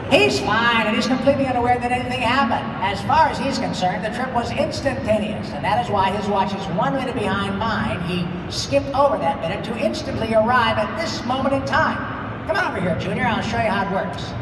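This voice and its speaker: A woman voicing an eccentric, excitable old male scientist in a comic live table read, explaining things grandly. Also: a little high, a little fast, natural voice. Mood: grandly explanatory. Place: large convention hall stage. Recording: echoey hall from far off, loud noise.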